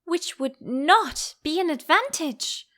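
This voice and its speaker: A woman's voice: very high-pitched, lively intonation, takes it slowly, fairly bright tone, pitched above the performer's own voice, heavily acted. A woman voicing a polite, earnest storybook little girl with a prim manner, eager to show what she knows.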